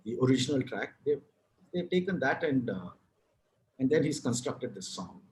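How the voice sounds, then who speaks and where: A man's voice: mid-range voice, big pitch swings, moderate pace, darkish tone. A man with a South Indian accent, a guest on a video-call interview, talking in a frank, rambling way with restarts and casual swearing. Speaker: guest, advertising writer and author.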